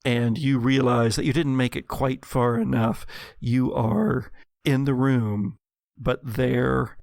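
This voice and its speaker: A man with a creaky voice improvising dramatic, vivid narration as the game master of a tabletop horror game. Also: mid-range voice, fairly even intonation, slow pace, a little mellow, dry recording, clean studio sound. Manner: dramatic, vivid second-person storytelling.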